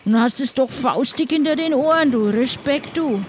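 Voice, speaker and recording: A woman's voice: high voice, normal speed, a little hiss or hum, close to an everyday voice. A woman playing a cunning, chummy adventurer in an improvised audio play, talking in a colloquial, teasing way. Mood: impressed, teasing. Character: chummy, cunning pirate adventurer conning a trader.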